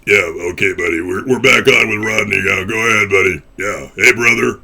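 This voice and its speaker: A male host with a gravelly, bright, cutting voice runs a casual call-in web show. He rambles, gets crude, and keeps calling people buddy. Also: on the low side, a little fast. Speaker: host and presidential candidate.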